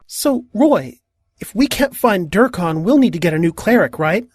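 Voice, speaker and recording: A woman voicing a cheeky, excitable, naive young male trickster with a slangy, mock-grand manner in a comic cartoon dub. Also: medium pitch, moderate pace, slightly rough, no room sound, studio quality, soft background music. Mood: earnest, naive. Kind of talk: animated comic fandub acting.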